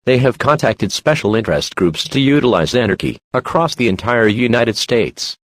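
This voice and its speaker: Man as automated emergency alert announcer: grave, measured, formal, very dry sound. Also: medium pitch, lively intonation, a bit bright, dry recording.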